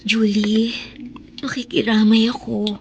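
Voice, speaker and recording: Casting: devoted young Filipino girlfriend-confidante, slow, very high bright voice, sentimental radio drama. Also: level tone, muffled recording, slight background noise. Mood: consoling. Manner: sympathetic, sentimental. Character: tender, loyal young female best friend.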